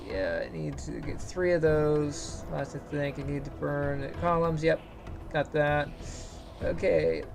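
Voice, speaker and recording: Man, high-ish light voice, gravelly fry, rambling stream chatter, faint music behind. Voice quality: higher-pitched, light voice. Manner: rambling, chatty, talks to chat while playing. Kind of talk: casual gameplay commentary.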